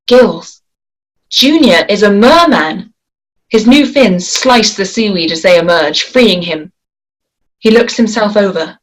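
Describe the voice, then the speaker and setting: A woman's voice: mid-range voice, expressive, slow pace, rough recording. A woman reading stage directions as scripted narration at a table read. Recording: faint noise and clicks.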